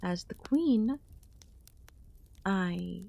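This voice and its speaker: A woman voicing an ancient, possessive alien queen in an ASMR audio roleplay, speaking with soft, teasing menace and drawn-out pauses. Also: mid-range voice, animated, vocal fry, exaggerated voice. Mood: solemn, regal. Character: ancient yandere alien queen, last of her kind, condescendingly affectionate.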